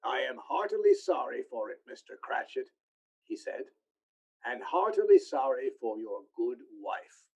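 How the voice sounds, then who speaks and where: An actor playing a poor, gentle clerk and grieving father in a radio play, with halting, emotional delivery. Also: medium pitch, very animated, husky, full character voice. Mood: fond, cheerful. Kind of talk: stage-style radio play acting. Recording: slightly dull lo-fi recording.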